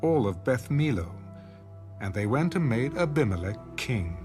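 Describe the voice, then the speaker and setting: A man narrating scripture from a script in a measured, formal storytelling manner. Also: mid-range voice, big pitch swings, over music. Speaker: audio Bible narrator.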